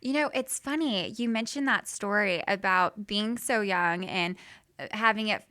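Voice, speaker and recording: Bright, crisp-voiced young woman. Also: medium pitch, a little slow. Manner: upbeat, animated, laughs easily. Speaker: guest, airline pilot. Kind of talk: casual interview chat.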